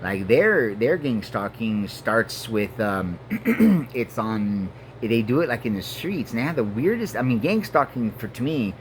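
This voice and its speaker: A man in his forties from Mexico and Colorado, a native Spanish speaker, talking in a halting, earnest personal monologue full of fillers and restarts. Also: mid-range voice, sing-song, slight background noise. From Mexico and Denver, Colorado. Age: 40s. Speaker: vlogger. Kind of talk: rambling personal monologue to camera.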